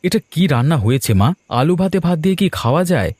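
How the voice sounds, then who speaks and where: A man's voice: a little high, expressive, fast talker, darkish tone, dry recording. A man voicing an idle grown son from a poor household, whining and argumentative, in a moral-tale cartoon. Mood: sulky, complaining.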